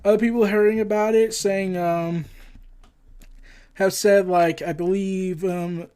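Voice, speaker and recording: A man with a notably high-pitched voice hosts a casual news roundup. He rambles through his commentary in a scattered, halting way, often getting tongue-tied and circling back to find his place. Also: steady tone, dark tone, smooth. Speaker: host of a comics and entertainment news show.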